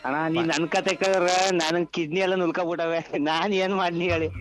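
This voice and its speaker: Male phone caller, fairly high voice, Mysuru Kannada accent, blunt exasperated slang, music behind. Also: flat delivery, fast talker. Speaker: prank call victim. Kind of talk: casual phone conversation. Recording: phone call with music underneath.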